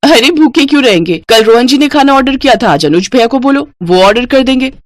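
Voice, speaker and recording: A woman's voice: high voice, animated, a little fast, dry recording, studio quality, pitched above the performer's own voice. A woman voicing a lazy, pampered younger sister-in-law, whiny, cheeky and defiant, in a family moral story. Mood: cheeky, defensive.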